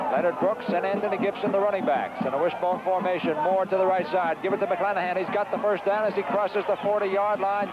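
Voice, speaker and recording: An American man doing live radio play-by-play of high school football, describing the action vividly in short, clipped phrases. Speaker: play-by-play announcer. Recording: dull, noisy 1970s radio broadcast audio.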